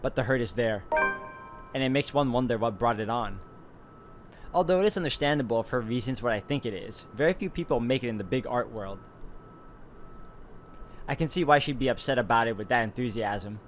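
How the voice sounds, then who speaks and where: A man walking viewers through a visual novel. His voice sits at a middle pitch. He reads the narration steadily and drops into easygoing comments on the game. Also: brisk, long pauses, a little hiss or hum. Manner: steady, even reading, relaxed asides. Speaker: gaming YouTuber. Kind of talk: reading game text aloud with commentary.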